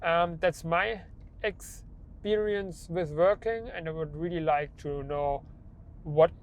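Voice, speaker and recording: A man's voice: a little high, slow pace, slight background noise. A young man who stutters, with repeated words and restarts, talking about his own experience in a personal vlog. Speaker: vlogger.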